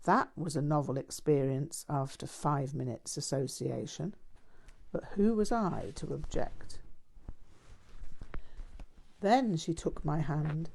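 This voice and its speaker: A woman reading a memoir aloud as scripted narration, in a measured, deliberate manner. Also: low voice, creaky, warm tone, low-quality recording. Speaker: audiobook reader.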